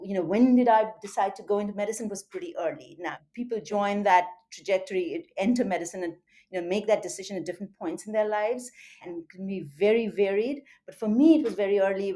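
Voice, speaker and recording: A middle-aged woman with an Indian accent, a surgeon and medical educator, recounting her career in an interview in a measured, articulate way. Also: medium pitch, warm tone. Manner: measured, articulate, with occasional fillers.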